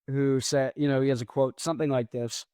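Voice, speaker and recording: A man's voice: mid-range voice, little pitch movement, a little fast, warm tone, clear, resonant tone, no room sound, studio quality. A man hosting a podcast in a rambling, casual solo monologue. He mumbles his words and lets his S sounds drag out. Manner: rambling, self-deprecating. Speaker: podcast host.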